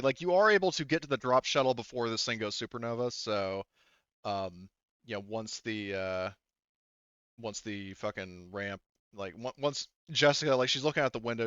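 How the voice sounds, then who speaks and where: Rambling man with a low, dull-sounding mic. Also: a bit bright, clean tone, no room sound. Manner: rambling, repeats "like" and "you know". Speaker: game master. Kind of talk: casual improvised tabletop game narration.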